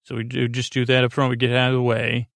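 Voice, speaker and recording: Creaky-voiced man rambling softly to sleep. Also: mid-range voice, completely flat delivery, no echo. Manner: meandering, halting, stammering, repeats himself; soothing, friendly. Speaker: podcast host. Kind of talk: rambling bedtime monologue.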